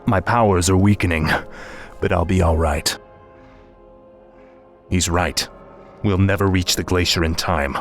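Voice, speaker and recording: An actor playing a fallen prince turned undead death knight in a game, cold, haughty and commanding. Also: male voice, low-pitched, fairly even intonation, measured pace, long pauses, soft background music. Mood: weary. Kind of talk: video game cutscene acting.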